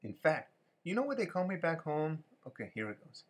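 A man's voice: on the high side, sing-song, a little fast, slightly rough. A man voicing a cocky, breezy American rooster, a wisecracking drifter, in an amateur cartoon fan dub. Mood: cocky, boastful.

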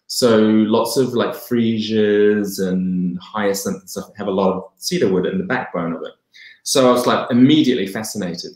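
A man's voice: lowish voice, a little mellow. A man in his forties with a New Zealand accent, a brand co-founder talking eagerly and laughing often in a video call interview from home. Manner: enthusiastic, quick, laughs often. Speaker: fragrance brand co-founder, guest. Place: home room, indoors. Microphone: video call webcam mic. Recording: roomy echoey home room.

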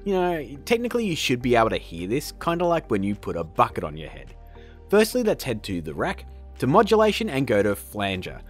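A man hosting a casual software tutorial, upbeat and playful with joking asides. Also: mid-range voice, wildly swooping pitch, a little fast, faint music behind. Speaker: tutorial host.

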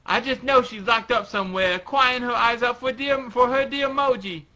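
A man voicing a small, earnest hero from a comic, animated and strained with worry. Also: very high-pitched, moderate pace, pitched above the performer's own voice, exaggerated voice. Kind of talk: amateur comic read-aloud. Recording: dull lo-fi voice recording.

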